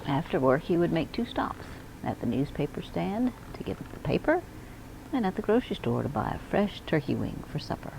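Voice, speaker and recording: A woman reading a children's picture book aloud in an expressive storytelling manner. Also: sits low, moderate pace, creaky, background noise. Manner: expressive, acts out dialogue and emphasis. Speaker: school media specialist reading aloud.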